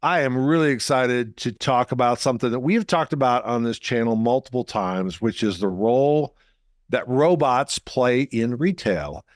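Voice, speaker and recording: An enthusiastic male host keeps the chat moving with eager, talkative energy and often repeats himself. His voice is mid-pitched, and the recording sounds dry and close. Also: normal speed, dry recording. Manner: enthusiastic, chatty, repeats words. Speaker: show host. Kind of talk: casual interview hosting.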